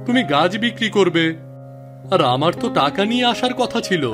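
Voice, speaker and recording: A man's voice: high-pitched, animated, fairly quick. A man voicing an earnest, persistent village fellow in a cartoon moral story. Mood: hurt. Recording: music behind the voice.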